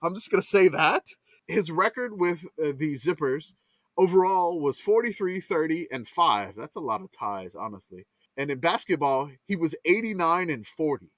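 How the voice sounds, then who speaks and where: A man's voice: a little high, sing-song, moderate pace, a bit bright, no room sound. A man from Louisiana with a Louisiana accent, rambling casually through sports history on a podcast and laughing at himself. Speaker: podcast co-host. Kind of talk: casual chat.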